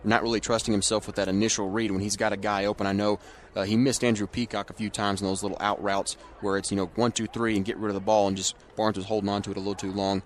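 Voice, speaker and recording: A man's voice: fairly low pitch, flat delivery, fast talker. A man giving football color commentary and analysis, recorded with ambient noise behind him. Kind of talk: live sports commentary, analysis.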